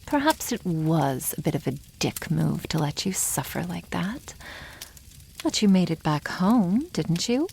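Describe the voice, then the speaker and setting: Slow, creaky-voiced teasing dragon girl acted by a woman. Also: on the low side, big pitch swings, exaggerated voice. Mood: wry, admiring. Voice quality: soft, breathy, often near whisper. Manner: slow, teasing, wry, condescending to humans. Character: proud, teasing young female dragon, protective elder sister. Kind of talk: ASMR roleplay, addressing the listener.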